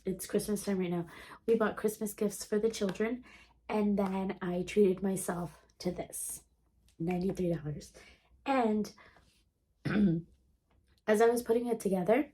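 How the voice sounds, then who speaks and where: A woman's voice: lowish voice, mellow. A woman in her thirties, a homeschool vlogger with a sore throat and a congested, throaty voice, chatting enthusiastically with many ums as she shows off a purchase. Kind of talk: casual show-and-tell vlog.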